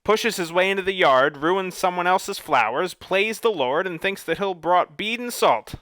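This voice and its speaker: A man voicing a gruff, touchy male monster with a bear's head, roaring and blustering, raising his pitch. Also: expressive, normal speed, bright, forceful tone, no echo. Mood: indignant.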